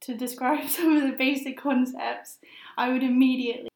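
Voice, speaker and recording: A young woman in her twenties with a high voice, a master's student answering interview questions in a hesitant, thoughtful way with many fillers. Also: fairly even intonation, moderate pace, darkish tone. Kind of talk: casual conversational interview. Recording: roomy echo.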